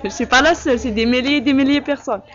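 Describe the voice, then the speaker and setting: A young woman from Kabylie in Algeria, answering interview questions in a halting, plain-spoken way with frequent fillers. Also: sits high, faint music behind. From Algeria (Kabylie, Tizi Ouzou). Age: young adult. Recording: muffled lo-fi recording.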